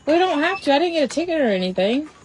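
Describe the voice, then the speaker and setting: A woman's voice: high-pitched, sing-song, fast, darkish tone, low-quality recording. A woman playing a sheepish but breezy wife in an everyday comedy skit. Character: sheepish then breezy wife confessing to her man. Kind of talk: comedic two-person skit, everyday dialogue. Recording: faint background noise.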